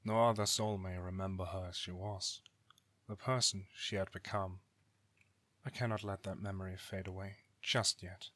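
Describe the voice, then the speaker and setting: A man's voice: low voice, level tone, a bit bright, no echo. A man playing an ancient god in an audio drama, a bitter, weary brother who speaks formally and solemnly without remorse. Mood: weary, justifying. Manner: formal archaic diction, grave, deliberate. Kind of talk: dramatic audio roleplay acting.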